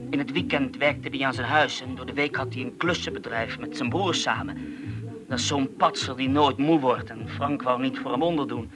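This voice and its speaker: An actor playing an unsettled man, oversensitive to noise, who talks in a slow, brooding monologue for a radio play. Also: medium pitch, music in the background, close to an everyday voice, low-quality recording. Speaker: troubled man being questioned about a friend's death.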